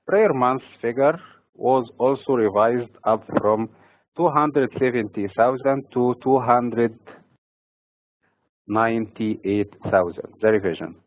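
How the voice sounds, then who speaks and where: A man from Egypt hosting a weekly financial market update, reading dates and figures in a steady, measured way. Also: mid-range voice, no echo. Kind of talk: scripted market commentary. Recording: dry, phone-like narrow sound.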